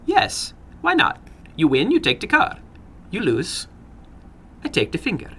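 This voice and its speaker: A man voicing a funny little foreign gambler in a dramatic story, soft and toneless, slowly coaxing in courteous broken English with quiet menace. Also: high-pitched, huge pitch swings, a little hiss or hum, pitched above the performer's own voice, heavily acted. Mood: calmly menacing.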